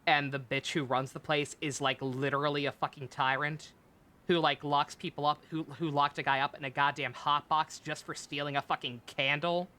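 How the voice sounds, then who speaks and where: A woman's voice: deep voice, fairly even intonation, normal speed, sharp tone. A woman streaming a video game with casual, exasperated, sweary commentary.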